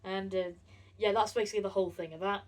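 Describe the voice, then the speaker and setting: A woman's voice: mid-range voice, fairly even intonation, fast, recorded from a distance. A woman co-hosting a casual chat, dry, blunt and teasing. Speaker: co-host.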